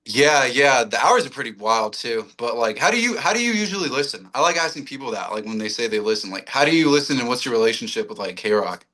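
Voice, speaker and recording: A man's voice: medium pitch, expressive, fast talker, few pauses, pushed and bright. A man of twenty-six, a radio personality chatting casually as a guest, slangy, rambling and self-deprecating. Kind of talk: casual chat.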